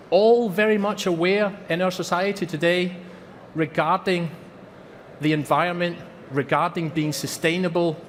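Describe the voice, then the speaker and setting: A man's voice: fairly high pitch, slight background noise. Scottish man speaking in a big hall. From Scotland. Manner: earnest, repeats for emphasis. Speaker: company presenter. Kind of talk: trade fair presentation. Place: large exhibition hall.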